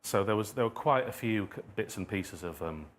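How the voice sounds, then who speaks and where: A man's voice: fairly low pitch, animated, a little fast, a little hiss or hum. A man answering questions at an informal public talk as a writer, thinking aloud and often rephrasing his thoughts. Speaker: guest speaker, writer and playwright.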